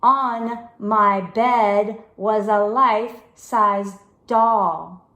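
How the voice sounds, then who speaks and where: Woman, expressive storybook read-aloud, unhurried deliberate delivery, mid-range pitch, roomy echoing sound. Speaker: reader of a children's book chapter.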